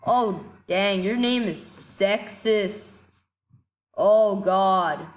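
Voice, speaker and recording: Slightly sick woman gamer, rants and trash talks the other players, ordinary mid pitch. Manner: excitable, quick to shout in frustration, trash-talking. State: a little sick. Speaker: gaming commentator. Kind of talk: casual gameplay commentary.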